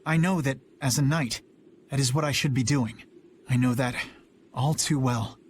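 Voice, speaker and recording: Man doing a young knight captain, dutiful, earnest, intense, clear tenor. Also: medium pitch, normal speed, slight background noise, close to an everyday voice. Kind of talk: video game voice acting.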